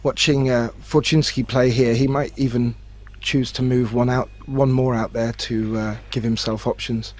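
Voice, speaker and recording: A man giving conversational live pool commentary in measured phrases, often repeating a word as he thinks. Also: medium pitch, little pitch movement, a little mellow. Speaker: sports commentator. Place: remote commentary from his own location.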